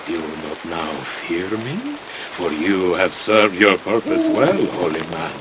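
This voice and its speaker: An actor playing a menacing, vengeful demon in a video game, with pompous, archaic, threatening delivery. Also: male voice, sits low, unhurried. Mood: menacing, gloating. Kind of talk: video game cutscene acting. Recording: loud rain and noise behind.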